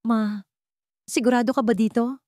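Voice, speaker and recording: A woman's voice: a little high, fairly even intonation, moderate pace, a little mellow, no echo, voice pushed up, natural voice. Nervous, weak-willed adult son, played by a woman narrator, audiobook drama: hesitant, worried, dry sound. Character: anxious, weak-willed adult son under his mother's thumb.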